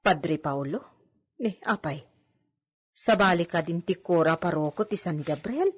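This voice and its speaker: A woman's voice: lowish voice, expressive, a little slow, breathy edge. A woman with an Ilocano accent playing a fussing, curious household maid in a radio drama, full of interjections.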